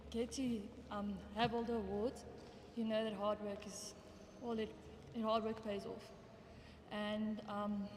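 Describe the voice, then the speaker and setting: A woman's voice: fairly high pitch, darkish tone, slight background noise. A teenage girl giving a hesitant acceptance speech, with many fillers and restarts. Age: teens. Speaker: award winner. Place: indoor banquet hall. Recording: echoey hall with background chatter.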